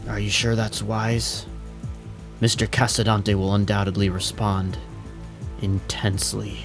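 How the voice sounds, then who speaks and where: A man playing a dutiful police captain in an audio drama, deferential and measured in manner. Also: fairly low pitch, monotone. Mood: wary. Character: dutiful, composed male police captain serving mobsters. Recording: music and rain under the voice.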